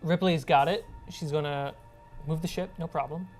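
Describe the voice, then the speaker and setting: A woman's voice: low voice, fairly even intonation, moderate pace, darkish tone, soft background music. A woman running a tabletop role-playing game as game master, narrating and calling for rolls in an informal, conversational way.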